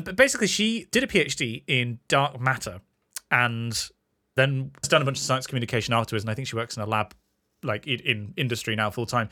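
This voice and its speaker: A male podcast co-host talking in a bright, clear voice with lively swings of pitch. He chats with enthusiasm, rambling and correcting himself as he goes. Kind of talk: casual podcast chat.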